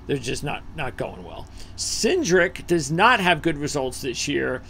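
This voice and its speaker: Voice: mid-range voice, sing-song, unhurried, vocal fry, bright, forceful tone, breathy edge. A host leading a casual racing betting preview over a video call, thinking aloud with fillers while scanning a list.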